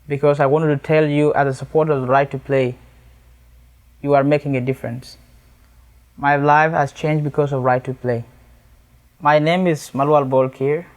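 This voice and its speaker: A young man from South Sudan with a South Sudanese accent, giving a prepared personal testimony in an earnest, deliberately phrased way. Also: on the high side, steady tone. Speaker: youth activist and charity event speaker.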